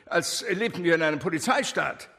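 A man's voice: fairly high pitch, expressive, brisk, vocal fry, bright, forceful tone, exaggerated voice. An actor playing an indignant male householder protesting to officials, with hesitant starts, in radio drama dialogue. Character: indignant householder standing up to government agents.

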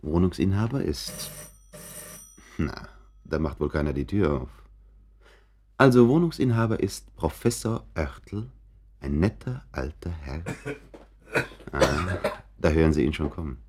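A man's voice: very deep voice, animated, a little slow, long pauses, darkish tone, slight background noise. A man playing a chatty, confiding narrator in a radio play, musing and interrupting himself with asides. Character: chatty, confiding male narrator showing listeners around an apartment.

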